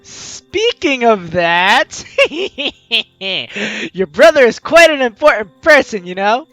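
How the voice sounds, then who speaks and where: A playful game streamer with a bright voice and very lively pitch. The delivery is teasing and full of exclamation, moving between reading aloud and commentary. It is recorded very dry, with faint music behind. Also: takes it slowly, dry recording. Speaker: let's-play video host.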